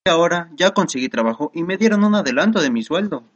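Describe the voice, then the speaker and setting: A woman's voice: fairly low pitch, lively intonation, fast, dull audio. A woman playing a polite, earnest young male suitor in a radio soap. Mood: hopeful. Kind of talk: Spanish-language radio soap acting.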